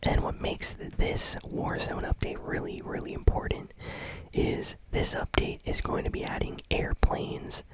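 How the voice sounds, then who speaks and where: A man whispering gaming news in a relaxed ASMR style, chatty and full of fillers. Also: unhurried. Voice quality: unvoiced whisper. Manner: casual whisper with many fillers.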